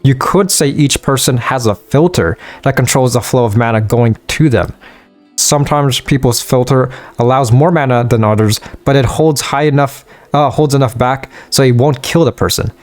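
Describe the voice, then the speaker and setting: A man reading a wise male mage's game dialogue aloud in a measured tone. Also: medium pitch, dry recording, soft background music, natural voice.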